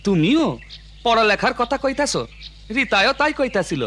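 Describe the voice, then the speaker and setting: A man's voice: very high-pitched, expressive, normal speed, sharp tone, a little hiss or hum, heavily acted. A man playing an agreeable middle-aged village father in a cartoon, with a rural Bangladeshi accent. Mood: approving. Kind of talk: cartoon voice acting.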